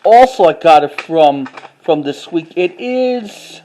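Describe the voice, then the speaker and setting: High-voiced man in a halting show-and-tell. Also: expressive, warm tone, a little hiss or hum. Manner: halting, repetitive, informal delivery. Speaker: collector showing items to camera. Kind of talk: casual show-and-tell vlog.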